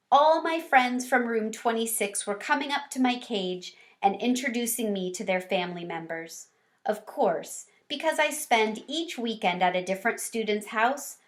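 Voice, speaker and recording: A woman's voice: mid-range voice, lightly acted. A woman voicing a small, cheerful, sentimental pet hamster, narrating a storybook with earnest wonder and expression. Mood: musing.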